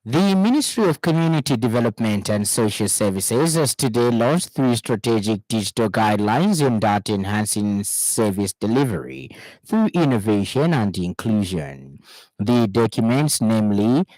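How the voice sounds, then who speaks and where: Male news presenter, Zambian accent, lowish voice, close dry sound, reading scripted radio news. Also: big pitch swings, moderate pace, a little mellow, dry recording, poor audio. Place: radio studio.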